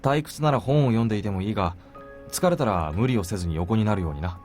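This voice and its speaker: An actor playing a calm, dry, protective young man in a Japanese visual novel. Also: lowish voice, very fast, faint music behind, lightly acted. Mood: dry, caring.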